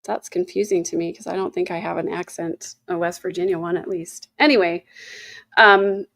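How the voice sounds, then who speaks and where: A woman's voice: lowish voice, fairly even intonation, normal speed, vocal fry, a bit bright, dry recording. A woman in her fifties hosting a casual livestream, rambling between topics and reading chat messages aloud with lots of fillers. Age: 50s. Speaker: livestream host.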